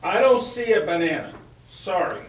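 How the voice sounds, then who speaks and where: A man's voice: sits high, animated, moderate pace. A man playing a store owner on the witness stand in a puppet trial, giving terse, literal answers. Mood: guarded. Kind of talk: courtroom testimony read from a transcript. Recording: echoey, far from mic, faint noise.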